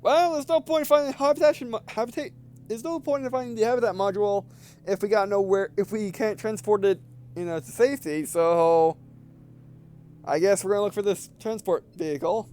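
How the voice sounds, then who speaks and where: A man doing casual let's play commentary, reading game dialogue aloud and thinking out loud, often repeating and restarting his phrases. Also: sits high, brisk. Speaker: gameplay video host.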